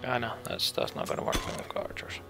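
A man's voice: fast, music in the background. A man doing casual game commentary as a let's play host, muttering and thinking aloud to himself.

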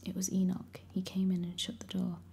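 A woman reading a first-person story aloud in a steady, hushed whisper. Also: lowish voice, fairly quick, gravelly. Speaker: audiobook reader.

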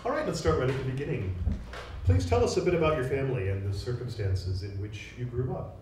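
A man's voice: medium pitch, expressive, quick pace, noisy background. Middle-aged man, interview host, reads questions courteously, echoey hall, slightly distant mic. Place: large echoey room, seated on stage. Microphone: handheld mic. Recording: roomy hall sound, mic picked up from a distance.

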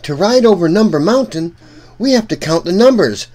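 Animated man reading a children's storybook. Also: high voice. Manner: animated, deliberate, addresses young listeners directly. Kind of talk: read-aloud storybook narration for children.

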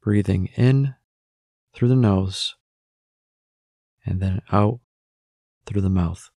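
A man with a fairly low voice leads a guided meditation. He speaks very slowly, giving gentle instructions and leaving long pauses. The recording is close and dry, with little sense of a room. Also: vocal fry, no room sound.

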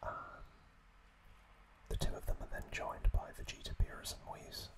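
A man whispering an ASMR recap as host, telling it in short, hesitant phrases with pauses.

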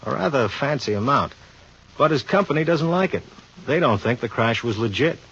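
Husky, easygoing police inspector. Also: male voice, mid-range voice, lively intonation, normal speed, fairly bright tone. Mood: explaining, easygoing. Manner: casual, slangy. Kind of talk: 1950s radio drama acting. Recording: muffled 1950s radio recording.

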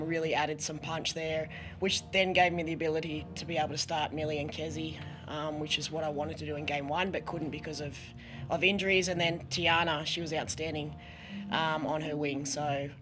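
Middle-aged Australian woman coach, slightly hoarse, speaking earnestly at a post-match press conference. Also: sits low. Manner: earnest, halting with many ums, a little hoarse. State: losing her voice. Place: press conference room with sponsor backdrop. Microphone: desk microphone.

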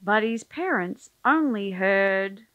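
Slow, animated woman storyteller. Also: mid-range voice, no room sound, narrow, tinny audio. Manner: expressive, drawn-out emphasis on key words. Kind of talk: children's picture book read-aloud.

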